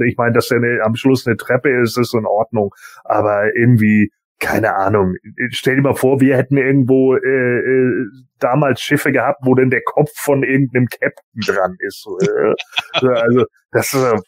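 A man's voice: mid-range voice, dry recording. Blunt man, dry close podcast sound. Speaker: podcast panelist on a toy collector show. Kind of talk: casual opinion chat.

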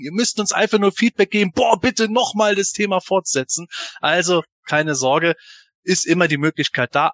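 Higher-pitched man chatting on a podcast. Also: fairly bright tone, no echo. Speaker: podcast panelist. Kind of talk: casual fan podcast chat.

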